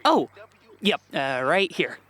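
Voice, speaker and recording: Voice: medium pitch, very animated, takes it slowly, a bit bright, dry recording, full character voice. An actor playing a friendly, teasing coffee shop barista in a comedy audio drama, talking in a casual, folksy way. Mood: friendly, ribbing.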